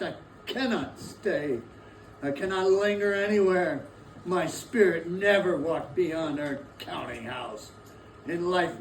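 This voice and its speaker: An actor playing the tormented ghost of a dead business partner in a dramatic stage reading, solemn and declamatory as he gives warning. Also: a little high. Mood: anguished. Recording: echoey room, distant mic, faint noise.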